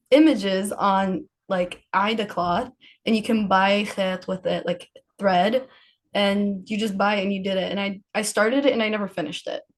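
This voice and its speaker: A woman from Palestine with a mid-range voice, talking as a guest on a podcast. She tells stories conversationally and informally, in loose everyday phrasing. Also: medium pitch. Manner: informal, frequent laughter. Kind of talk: casual conversational storytelling.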